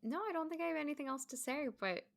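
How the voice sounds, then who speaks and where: A woman's voice: high voice, quick pace, vocal fry. A woman chatting casually and informally as a guest on a podcast. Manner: informal, conversational. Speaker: podcast guest, stage performer. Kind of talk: casual chat.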